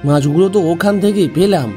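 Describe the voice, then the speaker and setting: A man's voice: sits high, fast talker, warm tone, faint music behind, lightly acted. A man playing a poor, honest village farmer in a cartoon, earnest and plaintive. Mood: pleading protest. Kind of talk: cartoon voice acting.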